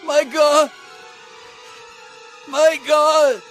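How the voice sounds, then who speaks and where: An actor playing an anguished, dying holy man with drawn-out, wailing cries in a scripture audio drama. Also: extremely high pitch, little pitch movement, fairly bright tone, soft background music, full character voice.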